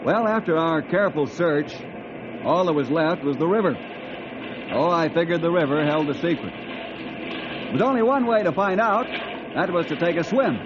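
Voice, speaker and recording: Man playing confident ranger hero, high voice, plainspoken, reassuring, muffled old radio drama, noisy. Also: moderate pace, pauses often, lightly acted. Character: steady, confident heroic western ranger man.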